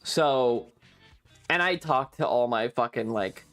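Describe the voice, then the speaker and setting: A man's voice: mid-range voice, expressive, takes it slowly, a bit bright, soft background music. A man chatting casually as a streamer, telling stories in an exasperated, rambling, sweary rant. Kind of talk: casual stream chat, ranting storytelling.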